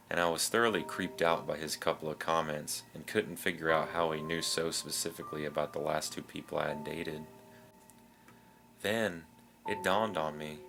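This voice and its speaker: A man reads scripted first-person creepy stories as a narrator. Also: low voice. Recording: music behind the voice.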